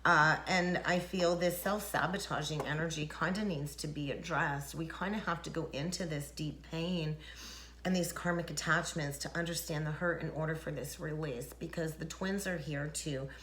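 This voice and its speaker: Low-voiced woman, echoey room. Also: a bit bright. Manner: rambling, chuckling, many fillers. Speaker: tarot reader. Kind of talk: casual spiritual talk to camera. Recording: echoey room, faint noise.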